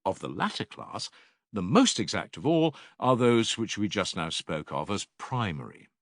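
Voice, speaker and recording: An actor playing an older philosopher in an audiobook dialogue, a patient, probing teacher with measured, rhetorical and emphatic delivery. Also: male voice, on the low side, very animated, no echo, studio quality. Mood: explanatory.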